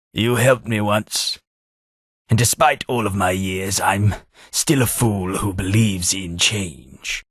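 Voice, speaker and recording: An actor playing a melancholy, formal old British former taxman in a video game, husky and measured in his wordy speech. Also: fairly low pitch, a bit bright, dry recording, studio quality, full character voice. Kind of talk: video game character dialogue.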